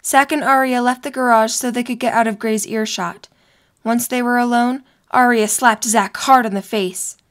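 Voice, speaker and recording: A woman's voice: a little high, fairly even intonation, normal speed, fairly bright tone. A young woman reading scripted story narration for an audio drama.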